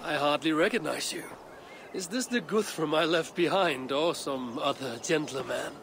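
Male actor as Viking warrior, creaky gravel voice, wry, solemn, measured, video game drama. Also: a little high, noisy background, lightly acted. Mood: wry surprise. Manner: measured, formal, terse. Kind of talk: video game cutscene acting.

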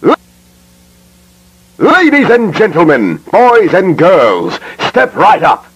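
Wildly swooping, husky showman. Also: fairly high pitch, exaggerated voice, rough recording. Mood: showy, grand. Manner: theatrical, exaggerated barker delivery. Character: showy, gleeful, sinister male animatronic party showman. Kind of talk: horror cartoon voice acting.

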